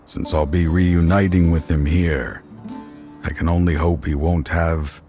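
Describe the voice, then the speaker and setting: A man playing a remorseful, resolute father and dying official in a video game, speaking gravely and haltingly. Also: sits low, fairly even intonation, dark tone. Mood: weary, regretful. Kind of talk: dramatic video game dialogue. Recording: game music behind the voice.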